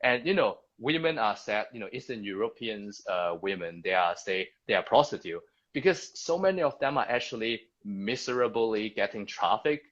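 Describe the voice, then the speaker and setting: A man from Hong Kong speaking English with a Hong Kong accent, a Cantonese native speaker, telling his story as a guest in a halting way with many restarts and tag questions. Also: lowish voice, lively intonation, a bit bright, highs cut off. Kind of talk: conversational storytelling.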